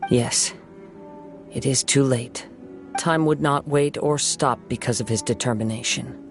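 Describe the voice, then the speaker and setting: A man voicing a thoughtful young wandering samurai in a video game, speaking in a gentle, measured and reflective way. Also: mid-range voice, faint music behind, close to an everyday voice. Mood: calm, grave. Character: calm, thoughtful young wandering samurai, soft-spoken and poetic. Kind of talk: video game character dialogue.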